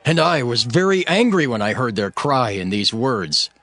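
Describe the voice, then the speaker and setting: A man playing an earnest, stern governor, narrating his own account in a solemn, measured dramatized scripture reading. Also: mid-range voice, a bit bright, no echo, lightly acted. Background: background music.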